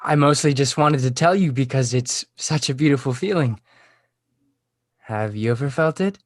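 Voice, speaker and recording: A man playing an earnest, lovesick sixteen-year-old boy in a comedy table read, halting and pleading. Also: medium pitch, no echo, natural voice. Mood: earnest, hopeful.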